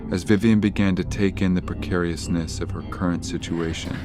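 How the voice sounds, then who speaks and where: A man's voice: sits low, steady tone, brisk. A man narrating an audio drama in a dramatic, scripted storytelling style. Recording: music behind the voice. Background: dramatic music.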